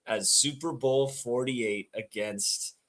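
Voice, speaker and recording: A man hosting a casual podcast, his voice raspy and hoarse, talking in quick, excitable bursts. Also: medium pitch. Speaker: podcast host.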